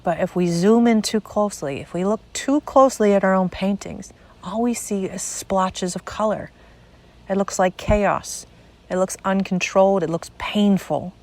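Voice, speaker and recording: A woman narrating a spiritual guided meditation in a measured, deliberate way, pausing between short phrases. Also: medium pitch, darkish tone.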